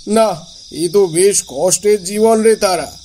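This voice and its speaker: A man's voice: high voice, slow pace, dark tone, a little hiss or hum. A man playing a concerned, slightly anxious elder brother figure with a secret, speaking familiarly in a horror audio drama. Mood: concerned, curious.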